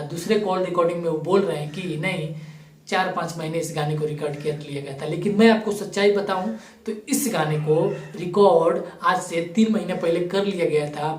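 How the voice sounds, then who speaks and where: A man's voice: on the high side, a little mellow. A man giving opinionated video commentary to viewers in an emphatic, accusatory manner. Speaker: YouTube commentator. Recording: roomy echo, distant microphone.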